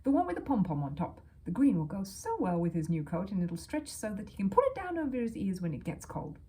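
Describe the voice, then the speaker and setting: A woman voicing a brisk, polite, motherly lady out shopping. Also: medium pitch, big pitch swings, dark tone, breathy edge. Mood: hurried.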